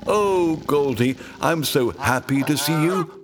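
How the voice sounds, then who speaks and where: Man voicing young dodo bird, high squeaky pitch, eager, plaintive, naive, storybook character. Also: measured pace, noisy background, close to an everyday voice. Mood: happy, then plaintive. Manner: stammering, exclaiming in bewilderment.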